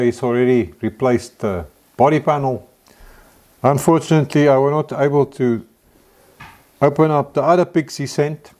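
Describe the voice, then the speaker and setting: Chatty Afrikaans-accented South African man. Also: mid-range voice. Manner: relaxed and chatty, chuckles often, stumbles over words now and then. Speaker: car builder hosting a community show. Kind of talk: casual vlog chat.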